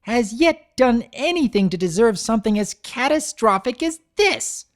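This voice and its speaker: A woman's voice: fairly high pitch, lively intonation, normal speed, voice pushed up, natural voice. A woman voicing a fussy young female unicorn who is indignant and stresses every word. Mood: exasperated.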